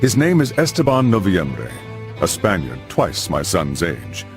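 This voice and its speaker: An actor playing a solemn middle-aged knight, a father narrating his family's saga in a grave, measured storyteller's manner for a game. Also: low voice, huge pitch swings, faint music behind, full character voice. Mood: grave storytelling. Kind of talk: dramatic scripted narration.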